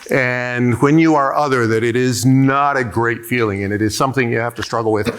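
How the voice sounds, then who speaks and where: A man's voice: medium pitch, a little hiss or hum. A middle-aged man telling a personal story on a conference panel, earnest, with frequent hesitations. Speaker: panelist, diversity executive.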